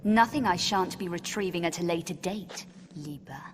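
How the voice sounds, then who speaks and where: A woman's voice: on the low side, normal speed, natural voice. A woman playing a fearless young English adventurer, dry and teasing, in noisy old game cutscene audio. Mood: wry, taunting. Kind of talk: video game cutscene acting.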